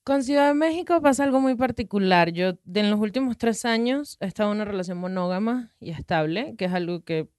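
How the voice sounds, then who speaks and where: A woman's voice: medium pitch, a little fast, few pauses, no room sound, clean studio sound. A woman in her thirties with a Venezuelan accent, a podcast guest chatting casually and telling long, rambling stories with easy laughs. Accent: Venezuelan Spanish. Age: 30s. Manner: relaxed, rambling storyteller who laughs easily. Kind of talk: casual conversational interview.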